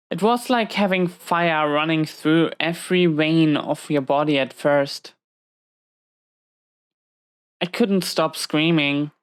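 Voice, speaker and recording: Woman playing a slow, low-voiced, weary villain inmate. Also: clean tone. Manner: confiding, halting, reflective. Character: battle-scarred female prison inmate villain, wounded, weary, intimate. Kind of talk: slow intimate audio roleplay monologue to a listener.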